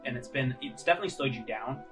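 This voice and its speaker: A man working as game master, narrating scenes in a casual, halting, conversational way. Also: medium pitch. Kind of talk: tabletop roleplay narration. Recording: music under the voice.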